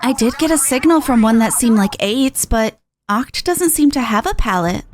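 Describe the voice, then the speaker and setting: An earnest young inventor from a video game read by a woman, high in pitch and explanatory. She sounds measured and techy, with faint noise behind. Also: animated, lightly acted. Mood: thoughtful.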